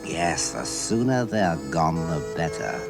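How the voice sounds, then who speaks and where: A man's voice: low-pitched, big pitch swings, slow, gravelly, music in the background, heavily acted. An actor playing an uneasy, grudging townsman in a vintage radio drama. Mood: worried. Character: uneasy, grudging man of a lakeside town.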